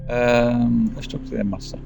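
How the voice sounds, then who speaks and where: A man live-streaming a game, reading the text aloud haltingly and muttering casual asides as he plays. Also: medium pitch, level tone, creaky. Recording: music behind the voice.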